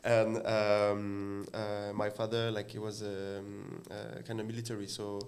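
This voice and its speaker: Halting French man, casual chat. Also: lowish voice, completely flat delivery. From France. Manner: halting, searching for words, many "uh" fillers. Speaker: guest.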